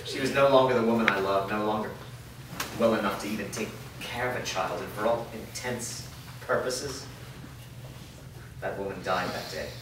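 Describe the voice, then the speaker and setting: Male actor as weary fantasy blacksmith, guilt-ridden father, low voice, distant mic in echoey room. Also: moderate pace, pauses often, background noise, lightly acted. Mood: bitter grief. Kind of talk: staged reading of a fantasy drama. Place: echoey room, recorded from a distance at a staged reading.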